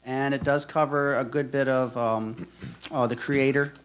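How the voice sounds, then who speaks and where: A man with a medium-pitched voice speaks as an author on a panel. He explains books in an informal, conversational style. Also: fairly even intonation, a little slow, smooth. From Pennsylvania, United States. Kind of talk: informal book presentation.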